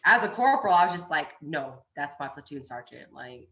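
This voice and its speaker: Brash woman with bright, echoey voice. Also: deep voice, fairly quick. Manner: brash, animated slang, acting out retorts. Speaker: podcast guest; army staff sergeant. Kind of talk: casual chat, storytelling.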